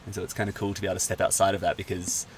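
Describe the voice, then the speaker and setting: A man from Australia, a guest in a casual chat, talking in a relaxed, rambling way. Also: low-pitched, racing, slight background noise.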